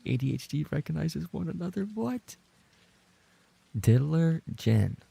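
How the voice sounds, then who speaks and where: A man's voice: a little high, big pitch swings, unhurried, vocal fry, dark tone, slightly rough, no room sound. A man livestreaming and chatting casually with his viewers, bantering in a playful, mock-indignant way. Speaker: live streamer and voice actor.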